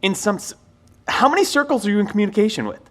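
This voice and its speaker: An actor playing an anxious, protective middle-aged scientist leading survivors, speaking in an urgent, earnest, naturalistic audio drama style. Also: male voice, high-pitched, expressive, fast talker, a bit bright. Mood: wary, incredulous.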